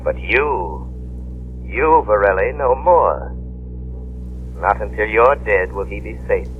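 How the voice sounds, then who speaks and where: A man playing a calm, mind-reading crime fighter in an old radio drama, measured and commanding, on a scratchy old broadcast. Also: lowish voice, narrow, tinny audio.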